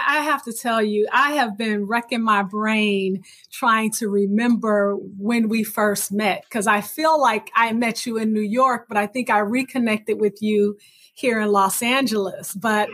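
A woman hosting a podcast interview, chatting casually and warmly affirming her guest, often repeating her words. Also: mid-range voice. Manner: warm, affirming, repeats phrases. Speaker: podcast host.

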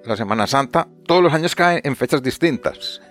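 A Colombian man with a Paisa accent, co-hosting a casual astronomy podcast, easygoing and halting with many restarts. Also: medium pitch, lively intonation, a bit bright, faint music behind. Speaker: astronomy communicator, co-host.

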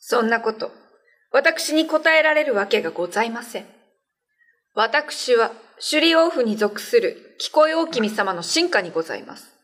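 A woman's voice: fairly high pitch, sing-song, unhurried, fairly bright tone, exaggerated voice. A woman playing a dignified, loyal spirit medium in a radio drama, speaking formally and firmly. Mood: grave, resolute. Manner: formal, deferential, firm.

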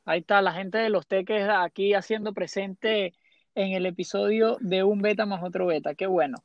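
A man's voice: sits high, fairly even intonation, moderate pace, creaky, no room sound, dull audio. A man hosting a podcast who introduces his guest and interviews her in an upbeat way, repeating phrases and dropping English fillers. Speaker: podcast host.

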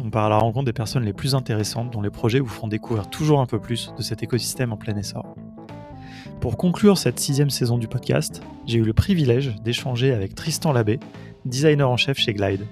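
A man hosting a podcast, reading a scripted intro and then asking questions with fillers and restarts. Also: medium pitch. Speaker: podcast host. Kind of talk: podcast interview.

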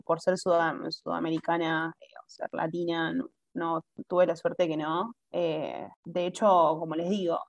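A woman from Argentina with an Argentine accent speaks as a guest in a relaxed interview. She searches for words, with frequent fillers and restarts. Her voice sits a little low, recorded dry and close with no room sound. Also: no echo. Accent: Argentine Spanish. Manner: hesitant, many fillers and restarts, laughs often. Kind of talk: casual interview conversation. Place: abroad, remote call.

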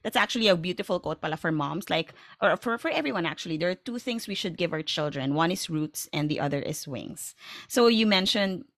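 A woman's voice: lowish voice, lively intonation, a little fast, fairly bright tone, no echo, clean studio sound. A woman with a Filipino accent hosting a podcast in a casual, conversational way, encouraging and affirming as she talks. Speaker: podcast host.

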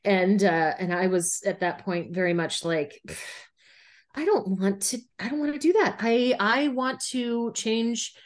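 A woman's voice: mid-range voice, unhurried. Candid woman guest telling her story. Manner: candid, frank, laughs easily. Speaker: podcast guest, runner. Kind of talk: casual conversational storytelling.

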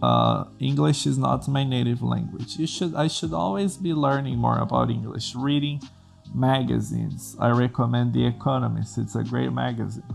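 A man from Brazil speaks English as a second language, earnestly talking programmers through career advice. His voice is deeply gravelly and full of fry. Soft music plays quietly underneath. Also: expressive, moderate pace. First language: Portuguese. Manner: earnest, explains in plain steps with restarts. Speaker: coding school host. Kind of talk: advice vlog to camera.

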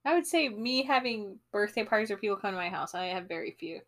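A woman's voice: mid-range voice, expressive, fast talker, a bit bright. A young woman in casual podcast chat, rambling with lots of fillers and laughing often.

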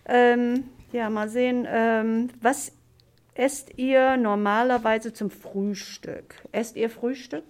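A woman from Germany, a German teacher hosting a classroom podcast, asking simple questions slowly with many fillers. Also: fairly high pitch, fairly even intonation, a little mellow.

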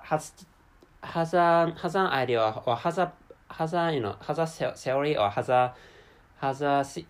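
A man's voice: mid-range voice, vocal fry. A man with a Japanese accent, a non-native English speaker hosting a solo spoken episode, who halts and restarts often as he searches for words. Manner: halting, searches for words, many restarts and fillers. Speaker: podcast host.

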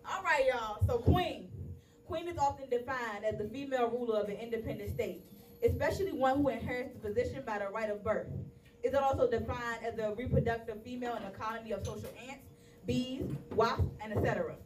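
A woman's voice: a little high, brisk, slight background noise. A woman with a big voice co-hosting a live poetry show, playful and hyping the audience. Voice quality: big, loud voice. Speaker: event co-host and poet. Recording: distant mic in a crowded live room.

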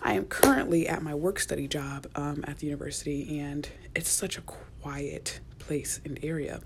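Low-voiced young woman rambling softly. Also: steady tone, normal speed, slight background noise. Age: young adult. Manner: rambling, chatty, often drops to a near whisper. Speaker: podcast host. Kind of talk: casual solo chat. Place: quiet university work-study office.

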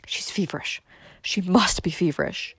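A woman's voice: fairly low pitch, normal speed, a bit bright, breathy edge, no echo, whispered. A woman voicing a fussy, meddling older female cousin in a storybook, nagging and easily alarmed. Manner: nagging, exclamatory.

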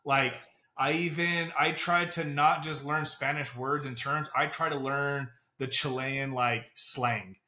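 Man, podcast host, earnest casual talk, bright forceful voice, roomy echo. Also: mid-range voice, fairly even intonation. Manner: earnest, fast, says "bro" and "right?" a lot. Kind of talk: casual interview chat.

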